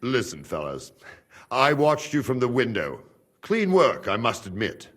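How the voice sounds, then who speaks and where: An actor playing a proud, stubborn male castle guard who talks in a measured, quietly threatening way in a video game cutscene. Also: mid-range voice, wildly swooping pitch, heavily acted. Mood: calm.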